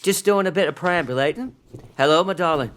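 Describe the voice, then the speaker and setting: A performer playing a cheerful, gentle and devoted father in a radio play, jovial and expressive. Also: medium pitch, fast talker, slight background noise, natural voice. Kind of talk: stage radio-play acting.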